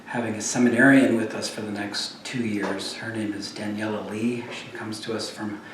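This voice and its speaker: A male priest makes a short announcement to his congregation, hesitating and filling pauses as he goes. His voice is low and very flat, and it rings from a distance in a strongly echoing room. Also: a little hiss or hum. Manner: hesitant, with many fillers. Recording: echoey room, voice from off-mic.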